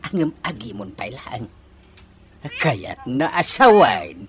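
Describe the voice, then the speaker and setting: A man's voice: medium pitch, very animated, extremely slow, background noise. A man playing a giddy, boastful, lovestruck old father in a radio comedy drama, with exaggerated, giggly delivery. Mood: smug, amused.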